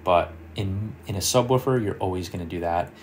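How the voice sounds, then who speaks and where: Low-voiced man giving an informal tutorial. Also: fairly quick, a little hiss or hum. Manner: explanatory, thinking aloud. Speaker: car audio tutorial presenter. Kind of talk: informal screen-share software tutorial.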